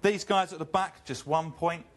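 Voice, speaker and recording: A man hosting an outdoor segment with a loud voice, bantering with a guest in a teasing, excitable, mock-competitive way on a handheld microphone. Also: on the high side, normal speed. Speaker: host. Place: outdoors at a busy tennis event. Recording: busy crowd and background noise.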